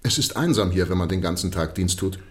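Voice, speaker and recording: A low-voiced, sheepish police constable. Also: male voice, lively intonation, fairly quick. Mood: sheepish, explaining. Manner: respectful, apologetic, plain-spoken. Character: deferential, sheepish male police constable explaining himself. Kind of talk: radio drama acting.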